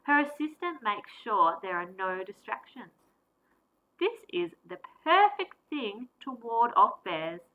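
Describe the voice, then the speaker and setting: Woman teacher for a storybook read-aloud, slightly high and bright, unhurried and expressive. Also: rough recording. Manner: expressive, deliberate storytelling with varied emphasis. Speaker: primary school teacher. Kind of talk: reading a picture book aloud.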